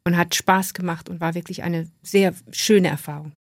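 A woman's voice: fairly low pitch, fairly even intonation, normal speed, no echo, studio quality. A middle-aged woman, a native English speaker, giving a thoughtful radio interview as an author, with frequent restarts and fillers. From Western New Guinea. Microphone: studio microphone.

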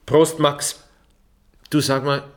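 An actor playing a laconic, reserved writer, an outsider in a small town, in naturalistic drama dialogue. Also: male voice, a little high, level tone, takes it slowly, a little hiss or hum. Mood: dryly amused. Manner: laconic, wry, short hesitant sentences.

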